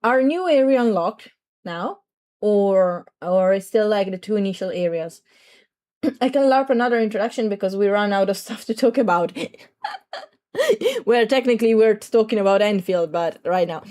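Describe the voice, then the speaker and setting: A young adult woman streamer with a slightly raspy throat, chatting to viewers in a rambling, talkative way. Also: mid-range voice, moderate pace, darkish tone. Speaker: VTuber streamer. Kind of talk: casual livestream chat.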